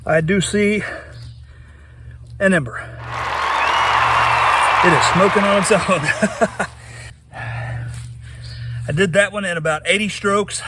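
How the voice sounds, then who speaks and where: A man's voice: high-pitched, takes it slowly, pauses often, bright, forceful tone, a faint crowd. A worn-out, winded man talking casually to camera about his own practice attempt. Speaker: amateur video maker practicing a skill. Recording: distant mic, faint background noise.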